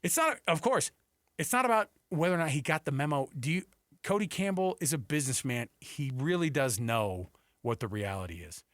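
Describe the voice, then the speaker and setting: A man hosting a sports talk radio show, telling stories and bantering in an animated, emphatic way. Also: a little high, brisk, dry recording, clean studio sound. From Texas and Seattle. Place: radio studio. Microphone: close studio microphone.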